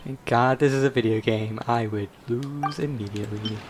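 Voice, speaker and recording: A man's voice: medium pitch, moderate pace, a little hiss or hum. A man commenting casually on a video game as he plays, reacting aloud in an informal way.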